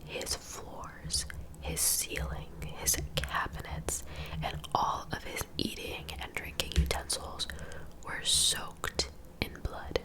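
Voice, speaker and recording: A woman telling true crime stories in a soft, breathy ASMR whisper, in a gentle, informal, conversational way. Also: slow, low-quality recording.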